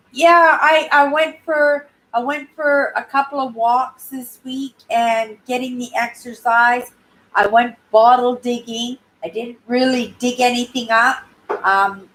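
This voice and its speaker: A woman in her sixties from Canada, chatting casually on her live stream in a clear, high-pitched voice and often ending sentences with "right?". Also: fairly bright tone, low-quality recording. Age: 60s. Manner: rambling, tags sentences with "right?". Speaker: YouTube channel host.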